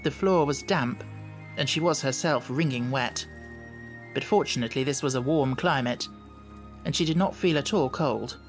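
A man's voice: medium pitch, normal speed, soft background music. Guy reading a kids audiobook, storybook narration, scripted.

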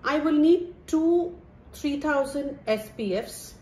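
A woman's voice: sits high, dark tone. Woman doing wicked greedy stepmom, drawn out dramatic delivery, echoey room w faint noise, amateur comedy. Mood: smug, scheming. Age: middle-aged. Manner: theatrical, deliberate, complaining. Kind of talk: amateur comedy stage-style acting. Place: indoor home living room.

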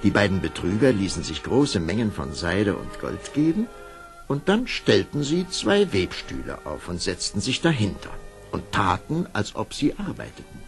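A man narrating a children's fairy-tale radio play in an expressive storytelling manner. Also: on the low side, gravelly.

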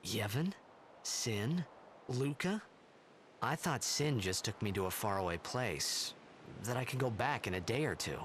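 A bewildered young hero. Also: male voice, medium pitch, animated, a little slow, a bit bright, slight background noise. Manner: disbelieving inner monologue. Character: confused young male athlete lost in time.

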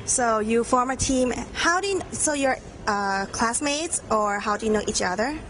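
A young woman interviewing students at a game event, asking short, bright questions into a handheld mic in a large room. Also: a little high, expressive, normal speed, a little hiss or hum, low-quality recording.

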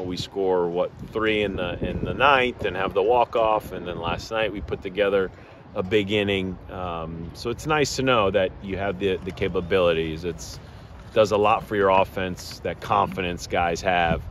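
A man in his fifties with a very low voice gives a measured postgame interview at a ballpark. He talks plainly, circles back with fillers and restarts, and steady outdoor noise hums behind him. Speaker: head baseball coach.

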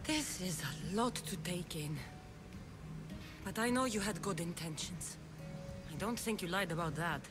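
Blunt, sceptical warrior woman, swooping pitch. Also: expressive, unhurried, long pauses, over music. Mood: guarded. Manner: direct, questioning, guarded. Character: blunt, sceptical young female mercenary warrior of ancient Greece. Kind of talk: dramatic video game cutscene acting.

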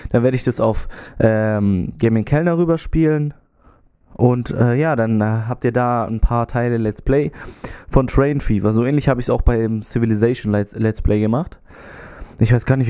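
A man's voice: mid-range voice, warm tone. A young man with a slight cold, chatting casually into a handheld mic in his small bedroom, rambling with lots of fillers. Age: young adult. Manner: rambling, many fillers, gestures while talking. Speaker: YouTuber. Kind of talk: casual vlog chat. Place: small bedroom with TV and gaming setup. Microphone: handheld mic held close.

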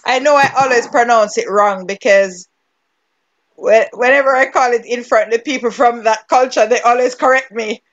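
A woman's voice: on the high side, moderate pace, dull audio. A woman with a Jamaican accent teaching a cooking class over a video call, giving step-by-step directions and laughing easily. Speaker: cooking instructor.

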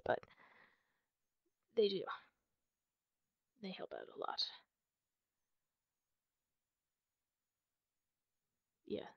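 A woman playing a wounded, guarded and prickly masked superheroine in an ASMR roleplay, half-whispering in a breathy, husky voice with halting, pained sighs. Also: sits low, a bit bright, dry recording, full character voice. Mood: guarded, calm. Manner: halting, sighing, pained delivery.

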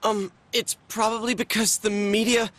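A man's voice: sits high, flat delivery, normal speed, a bit bright. A man playing an earnest, anxious teenage boy in a video game, urgent and stumbling over his words. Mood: panicked. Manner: urgent, stammering.

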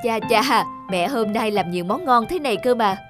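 A caring cartoon mom played by a woman, speaking in a fairly high voice. She coaxes and explains like a parent with a fussy child. Music runs clearly underneath. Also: expressive, normal speed, lightly acted. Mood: coaxing. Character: caring mother coaxing her fussy son to eat. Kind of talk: cartoon voice acting.

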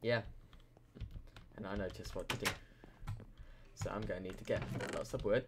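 A man's voice: fairly low pitch, steady tone, moderate pace, heavy background noise. A young man just woken up, rambling casually and drowsily as he comments on his gameplay. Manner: rambling, drowsy, self-mocking. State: just woken up, deep morning voice.

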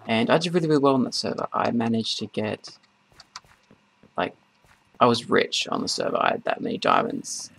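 Creaky-voiced man rambling over gameplay. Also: medium pitch, wildly swooping pitch, a bit bright. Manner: rambling, halting delivery. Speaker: gaming video host. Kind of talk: casual gameplay commentary. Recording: noisy, keyboard and mouse clicks.

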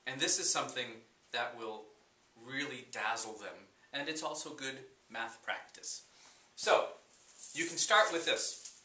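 A man in his forties teaching a chalkboard math lesson to camera, slow and unhurried, full of wonder, with fillers. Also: medium pitch, lively intonation, bright, forceful tone. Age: 40s. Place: room with a chalkboard, indoors. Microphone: camera at a distance. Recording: echoey room, voice from a distance.

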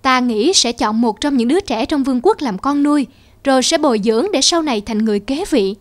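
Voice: high voice, expressive, brisk. An actor voicing a weary, aging, childless king in a cartoon fairy tale, solemn and stately.

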